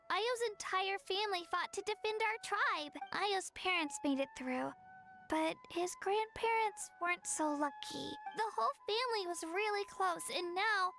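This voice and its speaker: A young girl in a video game, with a very high voice that has some creak in it. She is gentle and earnest, encouraging others in a soft-hearted, slightly hesitant way. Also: unhurried, soft background music, exaggerated voice. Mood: concerned, sympathetic.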